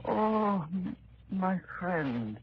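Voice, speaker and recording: An actor playing a frail, weary old prisoner who stammers, in 1930s radio drama style, on a dull old broadcast. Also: male voice, high-pitched, sing-song, very slow, a little hiss or hum, full character voice. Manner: hesitant, stammering.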